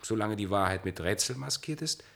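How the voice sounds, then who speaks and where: A man's voice: on the low side, animated, a little fast, gravelly, a bit bright. An actor playing a cynical male singing idol in a radio play, mocking and theatrical. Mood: wry. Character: cynical Orpheus, male idol singer of the future. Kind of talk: German radio play acting.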